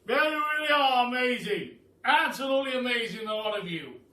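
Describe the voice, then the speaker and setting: Storybook Duke: old, pompous, generous, loud and high-pitched, in an echoey room. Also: male voice, lively intonation, brisk, full character voice. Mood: delighted. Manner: pompous, emphatic, hesitating with uhs. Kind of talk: children's storybook read aloud. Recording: echoey room, distant mic.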